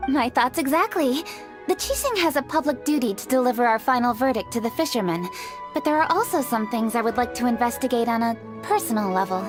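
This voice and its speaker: A woman voicing an earnest, scholarly young official in a video game, speaking briskly and clearly. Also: a little high, animated, over music, natural voice.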